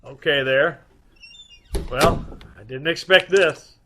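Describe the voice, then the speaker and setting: A man playing a brisk, wisecracking private detective in an old-time radio mystery. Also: on the high side, pushed and bright, a little hiss or hum. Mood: wry, steady. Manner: clipped, wry interrogating.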